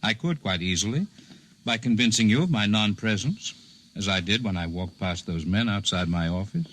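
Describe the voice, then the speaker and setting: Uncanny Indian healer, courteous, measured, lively rise and fall, 1950s radio acting, faint hiss. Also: male voice, fairly low pitch. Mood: serene, teasing. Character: serene, enigmatic Indian male healer with uncanny powers. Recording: noisy 1950s radio broadcast recording.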